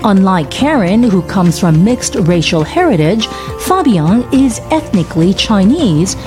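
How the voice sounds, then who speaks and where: A woman narrating a documentary and asking interview questions in a measured, composed way. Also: medium pitch, big pitch swings, over music.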